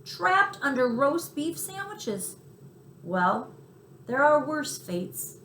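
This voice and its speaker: A woman voicing an excitable, generous male mouse for a children's story, speaking in short, eager, exclamatory bursts. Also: high-pitched, drawn-out words, echoey room, slight background noise, voice pushed up, full character voice. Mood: awed.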